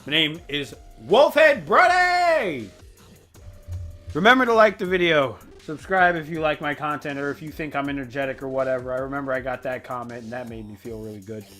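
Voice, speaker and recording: A man hosting a casual reaction video, giving energetic, exclamatory fan commentary. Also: fairly high pitch, fairly quick, faint music behind.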